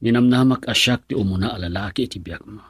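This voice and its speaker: Lalaking gumaganap na sugatang asawa, magaspang ang boses. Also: male voice, fairly low pitch, quick pace, fairly bright tone, slightly rough, no room sound, clean studio sound. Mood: hurt, bitter. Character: brooding, wounded husband in love. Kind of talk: Ilocano radio drama acting.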